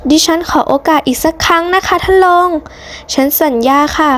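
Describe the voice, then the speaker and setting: A woman's voice: very high voice, moderate pace, a bit bright. A woman voicing an anxious, bossy female schoolteacher in a cartoon story, emphatic and insistent. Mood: pleading. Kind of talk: hobby cartoon story dubbing.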